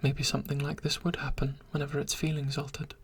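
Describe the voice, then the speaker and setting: A narrator reads a dark tale almost entirely in a whisper, steady and unhurried. The voice is very breathy and airy at a middle pitch. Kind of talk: whispered story reading.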